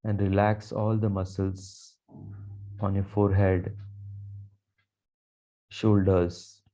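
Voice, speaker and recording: A man guiding a meditation with affirmations in a slow, calm, soothing delivery with long pauses. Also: low-pitched. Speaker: meditation guide, Reiki teacher. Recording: dull, muffled lo-fi sound.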